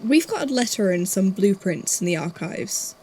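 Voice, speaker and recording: A woman playing a calm, curious archivist who puts measured interview questions in a fan audio drama. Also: medium pitch, slightly rough.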